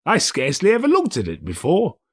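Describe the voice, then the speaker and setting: Guy doing giddy old man, reformed miser in a storybook, pitched way up, gushing and swooping all over. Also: huge pitch swings, breathy edge, heavily acted. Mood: delighted. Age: older. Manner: exclamatory, drawn-out, gushing delivery. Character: elderly reformed miser, giddy, delighted, effusively kind. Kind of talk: dramatised audiobook character voice.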